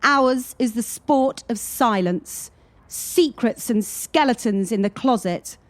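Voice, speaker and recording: An actress playing a wary, cynical young gymnast in a radio drama, with a deliberate, emphatic delivery. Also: female voice, on the high side. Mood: haunted, bitter. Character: wary, cynical female gymnast warning her teammate.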